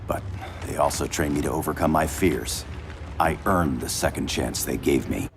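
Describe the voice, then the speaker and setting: Game hero: remorseful, earnest fighter, bottom-register gravel, sincere, prominent score underneath. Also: male voice, very deep voice, moderate pace, noisy background. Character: earnest, remorseful male soldier-hero seeking redemption. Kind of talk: dramatic game cutscene acting. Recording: loud music behind.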